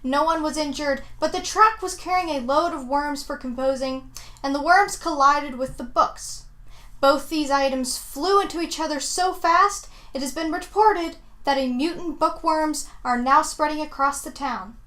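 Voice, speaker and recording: A woman's voice: sits high, normal speed, fairly bright tone. A woman co-hosting a casual show, laughing easily and filling gaps with um and uh. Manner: laughs easily, filler-heavy. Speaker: co-host. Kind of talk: casual chat and mock news reading.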